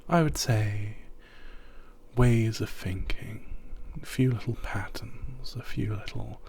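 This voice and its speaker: A man guiding a sleep hypnosis, talking softly and often half in a whisper, rambling and repeating himself. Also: on the low side, slow, gravelly, slight background noise.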